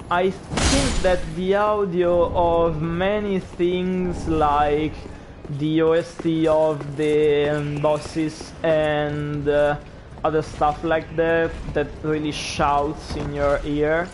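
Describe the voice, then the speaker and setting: Italian guy streaming a game, slow and chatty, bit high voice, hiss in the background. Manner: chatty, reacts aloud to the game, apologizes for pronunciation. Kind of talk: gameplay commentary.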